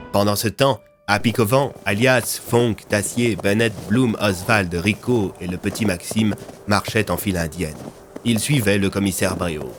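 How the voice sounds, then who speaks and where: A man narrating a scripted audio story in a dramatic style. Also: low voice.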